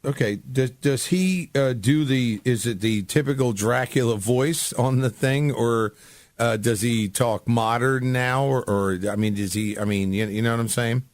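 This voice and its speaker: A man hosting a casual radio interview, asking and commenting in a halting, rambling way. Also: mid-range voice, no echo. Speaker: radio host.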